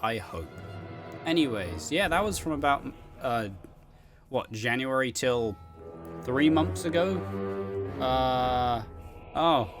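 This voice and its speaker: A man with an average-pitched voice rambles casually as a solo host. He swears freely and pokes fun at himself in a giggly, joking manner. Music plays behind his voice. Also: expressive, slow, long pauses. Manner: sweary, self-deprecating, giggly. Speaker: host, streamer and content creator. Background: background music.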